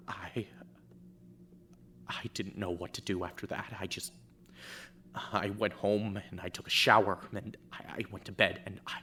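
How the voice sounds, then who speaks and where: A man voicing a shaken teenage boy in a fan audio drama, who talks haltingly and stumbles over repeated words. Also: lowish voice, sharp tone. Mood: broken, hushed.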